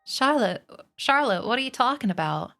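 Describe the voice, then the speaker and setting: A woman reading a storybook aloud and voicing a frantic young male pig who pleads in a high voice. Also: sing-song, normal speed, creaky, a bit bright, soft background music, exaggerated voice. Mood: alarmed.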